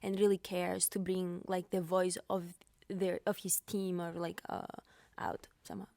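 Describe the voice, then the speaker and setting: A woman's voice: on the low side, flat delivery, measured pace, gravelly, mellow, no echo. A young woman from Cyprus with a Greek Cypriot accent, a pianist being interviewed, talking with many fillers and restarts. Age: young adult. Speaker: pianist guest. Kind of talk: podcast interview.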